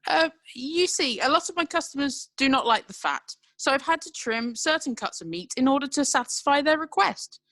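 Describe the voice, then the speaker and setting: A woman's voice: high-pitched, animated, normal speed, few pauses, fairly bright tone, no echo. A woman acting in a radio courtroom drama, playing an earnest, self-justifying butcher defending their livelihood. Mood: earnest, defensive. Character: defensive butcher on trial, a family breadwinner.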